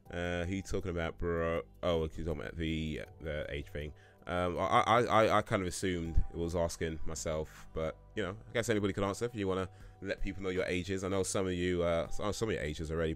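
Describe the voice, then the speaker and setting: Deep-voiced man rambling on a stream. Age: 30s. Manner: rambling, many fillers and restarts, chuckles often. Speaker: livestream host answering chat questions. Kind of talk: casual live Q&A chat.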